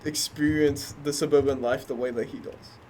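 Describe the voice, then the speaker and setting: A young man in casual podcast chat, loud and skeptical, quick to push back. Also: mid-range voice, steady tone, a little hiss or hum, poor audio. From Australia. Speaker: podcast host.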